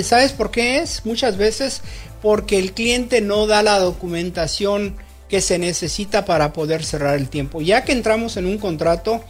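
A man's voice: high-pitched, slightly rough. A man from Mexico, a real estate guest on a radio show, explaining the process plainly and joking now and then.

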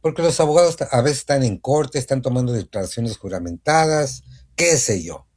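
A man hosting a morning radio show in a studio, chatty, spelling out phone numbers slowly. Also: mid-range voice, lively intonation, darkish tone, husky. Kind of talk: morning radio talk and sponsor reads.

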